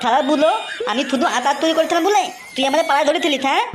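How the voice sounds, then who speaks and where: A woman's voice: high voice, very fast, background noise, natural voice. A woman voicing a vengeful, crude grown man in a comic cartoon, blustering and coarse.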